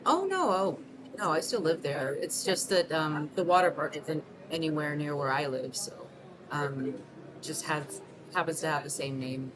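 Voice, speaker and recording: A woman in her thirties from Mexico City, acting a single mother who answers unheard questions haltingly, with many ums and restarts. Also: sits low, animated. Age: 30s. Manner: hesitant, filled with ums and restarts. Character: 38-year-old single mother, soft-spoken and self-conscious. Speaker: interviewee in a short film. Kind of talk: scripted film monologue, answering unheard questions. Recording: noticeable background hiss.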